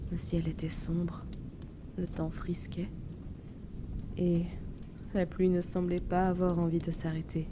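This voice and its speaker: Rain falls steadily behind a wistful young noblewoman played by a woman in an audio drama. She is haunted by her past and speaks slowly and hesitantly, in a hushed, fairly low, even voice. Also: background noise. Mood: nostalgic. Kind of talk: slow introspective audio drama monologue. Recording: steady loud rainfall behind the voice.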